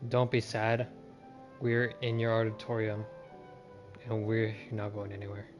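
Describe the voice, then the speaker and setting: Casual, halting male game streamer. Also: lowish voice, little pitch movement, highs cut off, faint music behind. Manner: informal, halting, repeats words. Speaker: game livestreamer. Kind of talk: casual livestream chat and game commentary.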